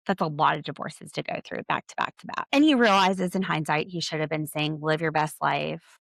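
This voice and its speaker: An American woman hosting a podcast, giving casual, opinionated commentary in an animated, sarcastic, slangy way. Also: deep voice, creaky, no echo. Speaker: podcast host.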